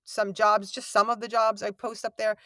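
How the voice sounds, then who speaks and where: Woman voice actor rambling about work, bit of gravel and warmth, dry close sound. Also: medium pitch, flat delivery, normal speed, no room sound. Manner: rambling, self-deprecating, many restarts. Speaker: voice actor guest. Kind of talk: casual interview chat. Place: home recording booth.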